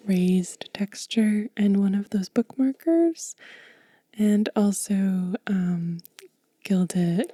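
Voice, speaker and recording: A woman giving a gentle, informal ASMR show and tell in a breathy, soft whisper, unhurried and close to the microphone. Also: mid-range voice, dry recording. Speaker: ASMR channel host.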